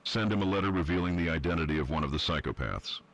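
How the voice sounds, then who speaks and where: A man playing a cold, sadistic villain who reads out instructions deliberately in an analog horror video. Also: a bit bright, dry recording. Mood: cold, menacing. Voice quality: very deep, husky. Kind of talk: scripted step-by-step horror narration.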